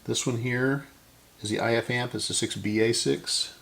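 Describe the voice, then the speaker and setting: A man's voice: medium pitch, fairly even intonation, moderate pace, gravelly, fairly bright tone. A middle-aged man hosting a casual hands-on repair demonstration, talking to himself unscripted as he works. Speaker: host of a vintage electronics repair channel. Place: indoor electronics workbench.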